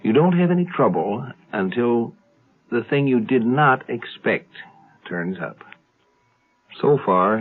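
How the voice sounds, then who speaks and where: American man hosting on tinny old radio. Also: lowish voice. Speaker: host. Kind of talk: measured 1950s radio introduction. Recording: tinny 1950s radio recording.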